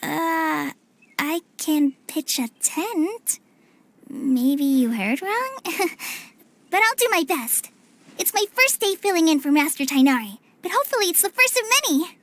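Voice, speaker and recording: Earnest young girl, game cutscene. High-pitched, modest, unsure of herself. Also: big pitch swings, a little slow, a bit bright, full character voice. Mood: unsure, hopeful. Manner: hesitant, self-effacing. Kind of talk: video game cutscene acting.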